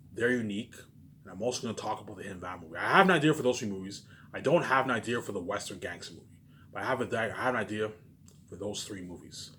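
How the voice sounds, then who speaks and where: A man speaks very quickly in a fairly low voice, as if vlogging into a phone. He is emphatic and boastful, circling back over his own phrases and answering his own questions. There is slight background noise in the recording. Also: sharp tone. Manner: emphatic, boastful, repetitive, with self-answered questions and trailing-off tag words. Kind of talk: rambling solo monologue to camera.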